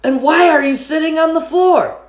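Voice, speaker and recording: A woman reading as an excitable, exasperated fourth-grade boy, animated and incredulous. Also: high-pitched, normal speed, reverberant, pitched above the performer's own voice, natural voice.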